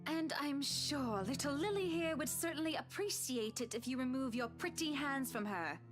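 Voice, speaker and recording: An actress playing a poised wife who speaks with sweet, cutting condescension, over game music. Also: female voice, sits high, expressive, moderate pace. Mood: icily polite. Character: poised, icily polite adult wife.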